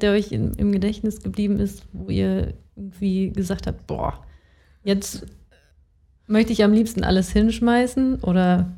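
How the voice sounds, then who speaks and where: A woman with a mellow, dark tone and medium pitch hosts an interview. She asks her questions conversationally. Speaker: interview moderator.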